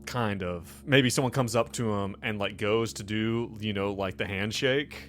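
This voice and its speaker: A man narrating a tabletop game as game master, improvising in a loose, halting, conversational way. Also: on the low side, faint music behind.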